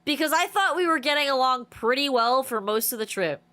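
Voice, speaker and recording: Woman, streamer, stuffy nasal congested voice, high pitch, rambling chatty storytelling. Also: fairly quick. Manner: rambling, animated storytelling with many restarts. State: congested, nose blocked. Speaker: game streamer. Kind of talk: casual livestream chat.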